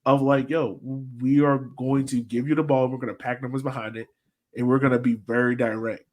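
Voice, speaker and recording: Man, medium pitch, sports podcast host, informal, rambling, thinking aloud, casual fan analysis. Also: level tone, a little fast, darkish tone.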